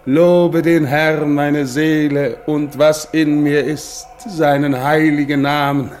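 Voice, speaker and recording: Slow, brooding polar explorer, noisy recording. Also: male voice, a little high, level tone, a little mellow. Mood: fervent, exalted. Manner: halting, brooding, incantatory. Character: exhausted male polar expedition leader, brooding, reminiscing, solemn. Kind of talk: German radio play acting, dramatic monologue.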